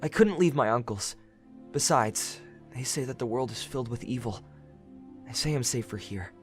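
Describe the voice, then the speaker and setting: Shy, earnest young woodland lad played by a woman, low for a female voice, swinging widely in pitch. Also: lively intonation, moderate pace, soft background music. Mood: longing. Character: gentle, sheltered young forest man, shy and earnest. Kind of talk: fan audio drama acting.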